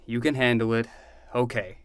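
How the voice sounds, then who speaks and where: An actor playing a retired, fussy but affectionate older uncle in a fiction podcast. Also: male voice, medium pitch, fairly even intonation, moderate pace, smooth, no room sound, clean studio sound, close to an everyday voice. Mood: gentle, resigned.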